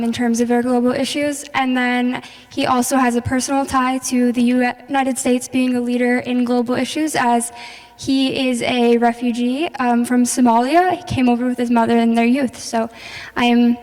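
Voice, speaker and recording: A woman introducing the next speaker at a forum, a little nervous, with frequent fillers. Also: on the high side. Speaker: introducer, council co-director. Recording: reverberant hall.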